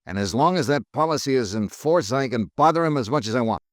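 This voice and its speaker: Male actor as a brisk, wisecracking 1950s radio detective; lively, dry studio sound. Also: mid-range voice, no room sound, lightly acted. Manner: clipped, dry, wisecracking delivery. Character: hard-boiled male insurance detective, brisk and wry. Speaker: insurance investigator narrating his own case. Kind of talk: 1950s radio drama acting.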